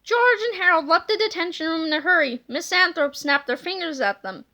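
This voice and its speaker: A woman reading a children's storybook aloud in an animated, dramatic way. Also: very high voice, brisk. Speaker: storybook reader. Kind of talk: expressive children's book read-aloud.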